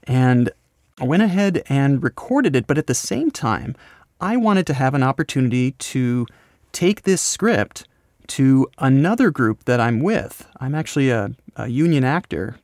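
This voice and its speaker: American man, mid-pitched voice, casual interview, rambling halting delivery, dry close sound. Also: a little mellow, no echo. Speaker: podcast host, voice actor and scriptwriter being interviewed.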